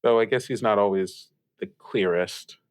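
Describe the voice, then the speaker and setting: A man's voice: fairly low pitch, moderate pace, clean tone, natural voice. A man playing a young, kindly late-night radio host who talks in a hesitant, stammering, self-effacing way with nervous giggles. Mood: gentle, unsure. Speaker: radio call-in show host. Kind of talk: improvised call-in radio acting, casual.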